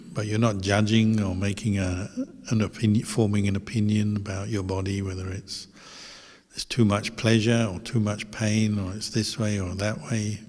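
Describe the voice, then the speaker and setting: A man who is a meditation teacher leads a guided meditation in gentle, unhurried instructions with pauses. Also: lowish voice. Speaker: Buddhist monk, meditation teacher. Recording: long hall reverb.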